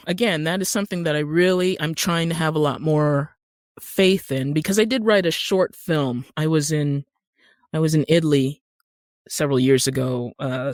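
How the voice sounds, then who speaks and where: A woman's voice: sits low, darkish tone, no room sound, clean studio sound. A middle-aged American woman telling stories conversationally and emphatically as an interview guest. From Utah, United States. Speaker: podcast guest, screenwriter and actor.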